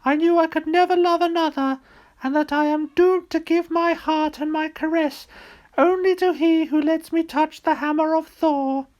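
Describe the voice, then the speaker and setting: A lovelorn young maiden from a storybook. The voice is a very high falsetto. She gushes theatrically and tearfully, full of melodrama. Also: fairly even intonation, moderate pace. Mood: tearful adoration. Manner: gushing, theatrical.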